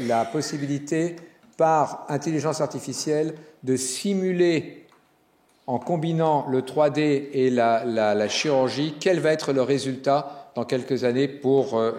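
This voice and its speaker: A man's voice: medium pitch, a little slow. Man giving a keynote in a roomy hall. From Italian descent. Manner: animated speaker with jokes and fillers. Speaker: hospital executive giving a conference talk. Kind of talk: conference keynote. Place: conference hall.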